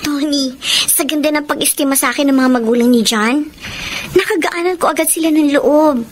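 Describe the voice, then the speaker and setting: Actress for a devoted young Filipina in love, high voice, earnest radio-drama delivery. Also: female voice, normal speed, slight background noise, lightly acted. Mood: happy, devoted. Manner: earnest, emotive. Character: lovestruck young woman student, devoted sweetheart.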